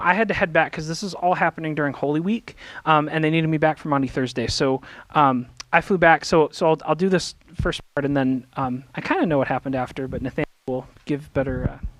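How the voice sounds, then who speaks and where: A man with a fairly high voice talks a congregation through his slides. He is casual and halting, full of fillers, and the sound is close and dry. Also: a bit bright, no echo. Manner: halting, many fillers and restarts. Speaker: resident minister presenting. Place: church meeting room. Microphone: handheld microphone.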